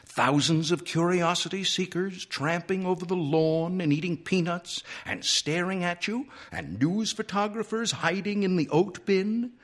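A man's voice: a little high, big pitch swings, moderate pace, hardly any pauses, bright, forceful tone, voice pushed up. A man voicing an exasperated, grumbling man who owns a talking horse in a story. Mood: sore, worried.

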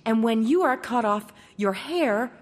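A woman voicing a gentle, devoted young prince who speaks earnestly and tenderly. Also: mid-range voice, lively intonation, normal speed, natural voice.

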